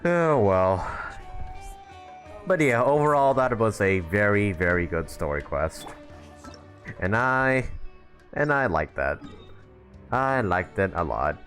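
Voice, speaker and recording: A man's voice: on the low side, sing-song, takes it slowly, pauses often, fairly bright tone. A man streaming a video game, giving casual, chatty commentary and thinking aloud in a laid-back way. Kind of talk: casual live gameplay commentary. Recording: game music under the voice.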